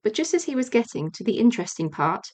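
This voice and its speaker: A woman reading a children's storybook as an audiobook narrator, measured and expressive. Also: a little high. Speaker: audiobook reader.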